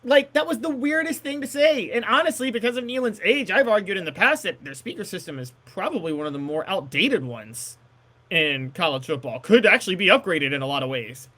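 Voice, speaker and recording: High-pitched, animated male sports co-host. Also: fairly quick. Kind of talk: casual sports discussion.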